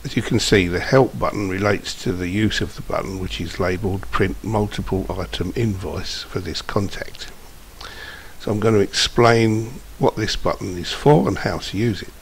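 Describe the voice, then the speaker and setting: Deep-voiced man, software tutorial. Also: normal speed. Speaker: software demonstrator. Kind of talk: informal screencast tutorial narration. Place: indoors, voice-over for screen recording. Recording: steady background hiss.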